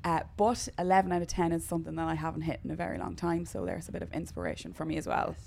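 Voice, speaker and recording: Gravelly-voiced playful woman. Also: deep voice, animated, very fast, a little mellow, slight background noise. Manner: playful, teasing. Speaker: returning podcast guest. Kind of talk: casual podcast chat.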